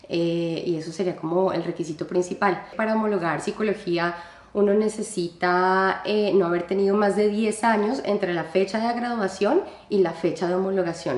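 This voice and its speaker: A woman from Colombia, a psychologist, giving measured, explanatory interview answers. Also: mid-range voice, roomy sound.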